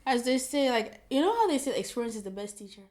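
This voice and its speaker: A woman from Ghana co-hosting a casual advice chat, animated and teasing, laughing often. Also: a little high, quick pace. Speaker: co-host.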